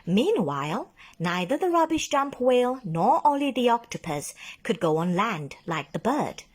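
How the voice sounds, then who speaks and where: A woman narrating a children's bedtime story in a deliberate, dramatic manner, stretching words for emphasis. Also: fairly high pitch, very animated. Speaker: speech and drama teacher reading a story.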